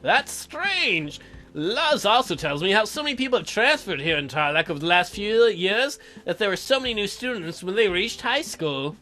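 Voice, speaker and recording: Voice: medium pitch, sing-song, moderate pace, sharp tone, faint music behind. A let's play host reading a game aloud and commenting with playful, teasing asides. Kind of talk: gameplay commentary with dramatic read-aloud.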